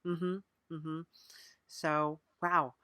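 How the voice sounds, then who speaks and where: A woman's voice: low-pitched, little pitch movement, drawn-out words, bright, forceful tone. A woman hosting a podcast, chatting casually and playfully with a mock-earnest manner. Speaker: podcast host. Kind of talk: casual improvised interview and show chat.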